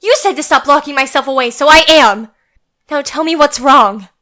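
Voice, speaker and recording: A headstrong young opera singer, acted by a woman, with a high, bright voice. She is defiant yet caring, played in the manner of an amateur audio drama. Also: normal speed. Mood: angry, insistent.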